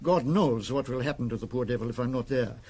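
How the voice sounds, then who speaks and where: An actor playing a haughty criminal lawyer in a radio drama, with an educated British accent and a formal, supercilious, pointedly precise manner. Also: male voice, medium pitch, fast, a little mellow, slightly rough, natural voice. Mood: indignant. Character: haughty, smooth-talking male criminal lawyer.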